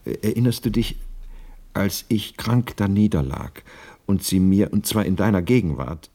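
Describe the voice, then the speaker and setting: Low, husky, anxious widower. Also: male voice, lively intonation, unhurried, dark tone. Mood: insistent. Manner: earnest, insistent, pleading. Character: anxious, earnest widower bent on summoning dead wife. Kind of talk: German radio play acting.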